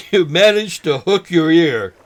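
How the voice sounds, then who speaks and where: Man acting as forgetful old man, folksy and teasing, lightish pitch, very lively intonation, halting. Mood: amused, teasing. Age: older. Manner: halting, folksy, mild exclamations. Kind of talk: naturalistic short film drama acting.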